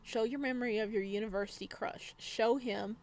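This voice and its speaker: A woman's voice: fairly high pitch, steady tone, a little fast, a little mellow. A woman gaming YouTuber reading visual novel text aloud, mixed with casual commentary.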